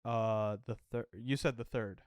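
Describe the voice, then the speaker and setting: Male co-host, medium pitch, clean smooth voice, dry sound, casual and halting delivery. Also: steady tone, no echo, highs cut off. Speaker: podcast co-host. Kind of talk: casual film podcast chat.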